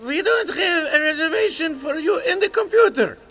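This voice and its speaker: A man voicing a stubborn hotel clerk who speaks broken English, flat and deadpan. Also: very high voice, moderate pace, soft background music, full character voice. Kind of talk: comedy audio sketch.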